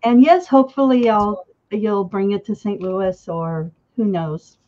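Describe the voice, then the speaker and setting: A woman hosting an interview over a video call, speaking gently with hesitant fillers. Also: mid-range voice, unhurried, highs cut off. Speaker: interview host. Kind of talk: casual interview.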